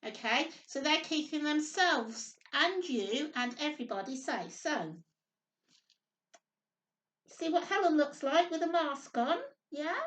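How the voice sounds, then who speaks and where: A woman's voice: sits high, lively intonation, takes it slowly, fairly bright tone. A woman hosting storytime for young children, reading aloud and chatting in a sing-song, simple way with frequent tag questions. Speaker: children's storytime host, nursery worker. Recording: roomy echo, distant microphone.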